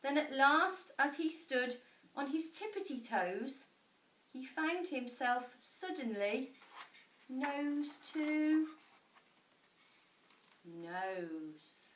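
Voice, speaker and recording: A woman with a high voice reads a children's storybook with dramatic emphasis. She takes her time and varies her pitch widely, pausing for effect. The room sounds echoey. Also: expressive, fairly bright tone. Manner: dramatic emphasis with deliberate pauses. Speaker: teacher reading a picture book. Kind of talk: expressive storybook narration for children. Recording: echoey room, distant mic.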